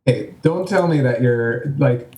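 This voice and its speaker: A man's voice: mid-range voice, unhurried, darkish tone, reverberant. A man interviewing a guest, casually chiming in with short replies and playful surprise.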